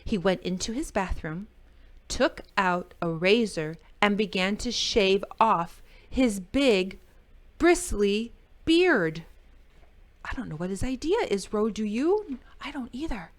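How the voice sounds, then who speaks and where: A woman's voice: medium pitch, slow pace. A woman hosting library storytime, reading a picture book expressively for children and adding chatty asides. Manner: animated, exclaiming, dramatic emphasis for children.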